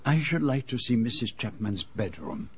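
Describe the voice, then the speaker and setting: An actor playing a fastidious Belgian detective with a French-speaking accent, precise and formal in a radio drama. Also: male voice, medium pitch, sing-song, a little hiss or hum, lightly acted. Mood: puzzled, probing.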